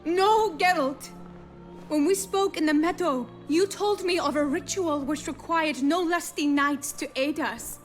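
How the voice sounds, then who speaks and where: A woman's voice: high voice, a little slow. A woman playing a refined young noblewoman in a fantasy video game, earnest and resolute, with formal, precise phrasing. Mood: insistent. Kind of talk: video game cutscene acting. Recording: faint music under the voice.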